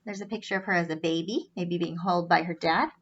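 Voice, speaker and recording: A woman's voice: on the low side, fairly even intonation, brisk, lo-fi sound. A woman reading a children's storybook aloud expressively, adding explanatory asides and wondering aloud to young listeners.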